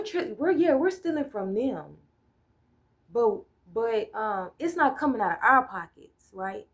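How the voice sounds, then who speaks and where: An American woman ranting casually on a solo vlog. She is blunt and profane, rambles, and loses her train of thought. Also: on the high side. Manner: talks things through with hypotheticals and "right?" checks. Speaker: solo vlogger. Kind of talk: casual political rant.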